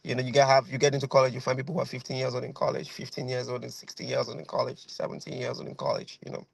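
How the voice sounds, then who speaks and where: Nigerian guy in his 30s, super gravelly, kinda flat delivery, rambles w lots of um and you know, dry sound. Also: mid-range voice, racing, low-quality recording. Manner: rambling, repeats phrases, many fillers. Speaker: guest violinist. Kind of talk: casual interview over video call. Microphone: video call.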